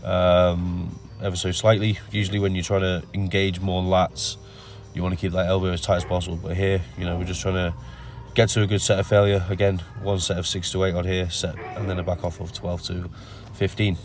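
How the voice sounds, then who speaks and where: Man, nasal from a cold, deep voice, monotone, casual workout voice-over, faint music behind. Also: fairly quick, few pauses. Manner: relaxed, many ums, explains step by step. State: has a cold. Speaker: bodybuilder narrating his workout.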